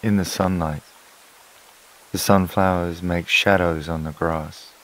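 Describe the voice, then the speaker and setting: A man narrating a scripted sleep meditation in a calm, soothing, unhurried way, with long pauses between phrases. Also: sits low. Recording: water and rain sounds behind voice. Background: flowing stream, rain-like ambience.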